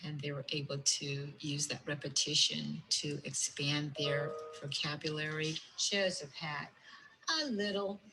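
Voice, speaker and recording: A woman teaches young children in a slightly low voice. Her delivery is slow and deliberate, with simple wording. The classroom recording has clear noise around her. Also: fairly even intonation. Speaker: preschool teacher. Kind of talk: instructive talk to and about young children.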